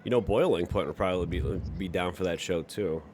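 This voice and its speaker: Deep-voiced man bantering on a livestream. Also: fairly quick, darkish tone, slight background noise. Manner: crude, sweary, bantering. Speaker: livestream host. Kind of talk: casual joking chat with co-hosts and viewers.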